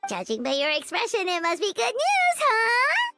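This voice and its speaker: A woman voicing a tiny, excitable male dinosaur creature in a video game, with a squeaky, chirpy, slangy way of talking. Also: normal speed, over music, exaggerated voice. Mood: eager.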